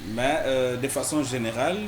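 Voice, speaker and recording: A man with a Congolese French accent answering as a political interview guest, formal, measured and careful, with some hesitation. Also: mid-range voice. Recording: echoey room, clear background noise.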